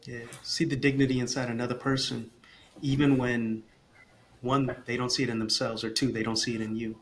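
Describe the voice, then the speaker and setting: Earnest, expressive man, faint noise. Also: medium pitch, fairly quick. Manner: earnest, expressive, repeats phrases while thinking. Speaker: guest, communication coach for parents. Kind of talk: casual podcast conversation.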